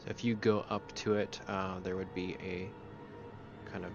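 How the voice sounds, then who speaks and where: A man narrating a tabletop game as game master in a halting, informal way, with muffled sound. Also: sits low, level tone. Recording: muffled audio over background music. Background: music.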